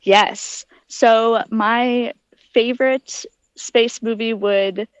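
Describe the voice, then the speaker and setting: A woman's voice: fairly high pitch, steady tone, drawn-out words, clear, resonant tone, no echo, low-quality recording. A young adult woman giving conversational, explanatory answers as a guest in an interview. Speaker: interview guest, aspiring astronaut.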